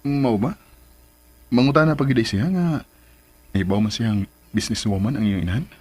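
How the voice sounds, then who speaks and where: An actor with a Cebuano accent playing a playful, persuasive middle-aged sweetheart in a radio drama. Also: male voice, mid-range voice, normal speed, darkish tone, breathy edge, lightly acted. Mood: coaxing.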